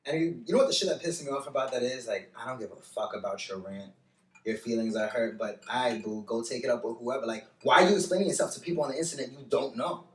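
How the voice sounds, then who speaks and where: A man's voice: medium pitch, quick pace, echoey room, distant mic, a little hiss or hum, rough recording. A man who is a guest on a podcast, chatting casually, blunt and sassy, swearing often. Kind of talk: casual chat.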